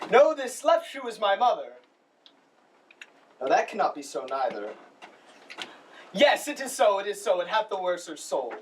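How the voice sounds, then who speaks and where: A man playing a woeful, muddled Shakespearean clown servant in a comic stage monologue, speaking deliberately. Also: sits high, sing-song, slight background noise. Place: school showcase stage, recorded from the audience. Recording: distant stage recording.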